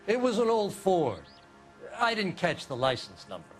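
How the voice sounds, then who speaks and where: Casting: nosy American neighbor, high voice, swooping intonation, colloquial and defensive, music behind. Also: male voice, wildly swooping pitch, normal speed. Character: defensive, folksy male witness, nosy neighbor. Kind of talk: video game cutscene acting.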